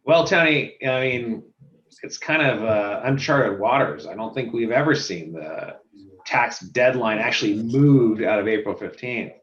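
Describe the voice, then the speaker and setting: A man who runs a software company, explaining filing statistics in a casual video call chat. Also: mid-range voice, measured pace, echoey room, dull audio. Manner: explanatory, walks through statistics.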